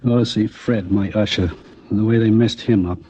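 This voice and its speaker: An actor playing an aggrieved, suspicious American theater manager with a hesitant, confiding, folksy manner in a radio drama. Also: male voice, lowish voice, steady tone, fairly quick, warm tone, lightly acted. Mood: upset. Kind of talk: naturalistic 1950s radio drama acting. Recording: dull, faintly noisy old radio recording.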